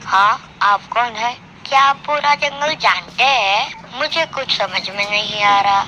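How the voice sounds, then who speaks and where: A woman voices a young lion cub prince in a cartoon fable. The voice is fairly high, childlike and earnest, with a naive air. Clear background noise sits behind it. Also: unhurried, pushed and bright, low-quality recording. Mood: curious bored. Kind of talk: Hindi animated moral story acting.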